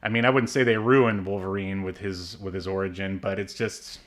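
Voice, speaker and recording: A man's voice: sits low, little pitch movement, moderate pace. A man co-hosting a podcast, rambling along with lots of ums and likes and laughing often. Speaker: podcast co-host. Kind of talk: casual chat.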